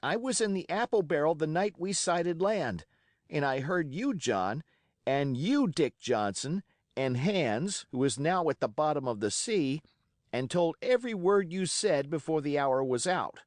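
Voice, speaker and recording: A man voicing a bold young cabin boy who speaks in an excited, defiant rush. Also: mid-range voice, unhurried, hardly any pauses, no echo, clean studio sound, voice pushed up. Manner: breathless, rising, defiant pleading.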